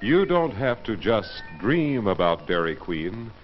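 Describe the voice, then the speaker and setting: Animated vintage male ad announcer, dull sound. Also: fairly low pitch, takes it slowly. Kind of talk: vintage commercial announcer read. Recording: dull old ad film, music behind.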